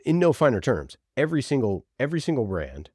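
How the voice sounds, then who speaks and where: A man's voice: medium pitch, very animated, quick pace, warm tone, slightly rough, no room sound, studio quality. A man talking casually as an interview guest and podcast producer, giving emphatic, opinionated advice and acting out imagined exchanges.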